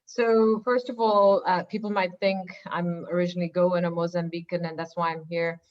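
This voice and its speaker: A woman from Singapore speaking on a webinar panel as a school founder, lively and chatty with many fillers. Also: fairly low pitch, moderate pace. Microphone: video call. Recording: dull lo-fi video call audio.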